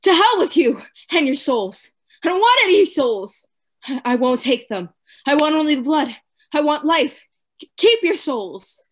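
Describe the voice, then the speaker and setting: A woman's voice: high-pitched, very animated, a little slow. A woman playing a tormented, obsessive asylum lunatic in a radio play, with formal, theatrical diction. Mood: frantic, fanatical. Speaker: actor.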